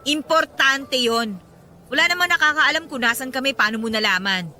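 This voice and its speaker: A woman's voice: high voice, moderate pace, sharp tone, a little hiss or hum. An actress playing an indignant, sarcastic mother who feels bitterly wronged, in an emotional radio drama style. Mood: confrontational, suspicious. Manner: biting, sarcastic retorts.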